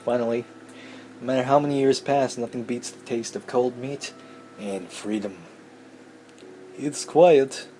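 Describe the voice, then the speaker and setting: Mellow-voiced man narrating a game playthrough. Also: mid-range voice, slight background noise. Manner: irreverent, sweary. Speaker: let's play host. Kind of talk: reading visual novel aloud with casual commentary.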